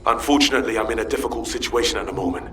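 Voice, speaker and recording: An actor playing a frustrated, capable field operative in a sci-fi shooter game, with a terse, gritty manner. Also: male voice, medium pitch, racing, a bit bright, close to an everyday voice. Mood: weary, businesslike. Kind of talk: video game voice acting. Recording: roomy, distant, noisy game audio.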